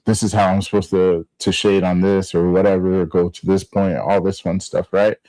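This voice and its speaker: Mellow low-voiced laid-back man. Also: level tone, moderate pace, no echo, low-quality recording. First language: Spanish. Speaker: podcast co-host. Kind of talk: casual sports chat.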